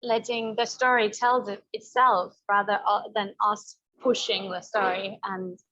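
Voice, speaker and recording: A woman's voice: mid-range voice, little pitch movement, unhurried, pushed and bright. A woman documentary filmmaker answering thoughtfully in a festival interview, often searching for words. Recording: dull lo-fi audio.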